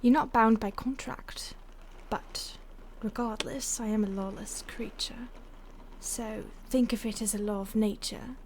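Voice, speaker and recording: Seductive vampire stalker played by a woman, higher register, breathy and whispery, condescending playful menace, intimate audio drama, faint noise behind. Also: steady tone, unhurried, a little mellow. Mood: haughty amusement. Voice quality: breathy, often dropping to a whisper. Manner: teasing, haughty, theatrical. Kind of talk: intimate audio roleplay addressed to the listener.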